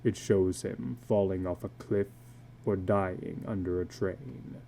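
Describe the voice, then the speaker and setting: A man voicing a weary, bitter older tattooed carnival man in a slow, grave, brooding dramatic reading of a story. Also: low voice, fairly even intonation, gravelly, warm tone, slight background noise, voice pushed down, full character voice. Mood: grim, brooding.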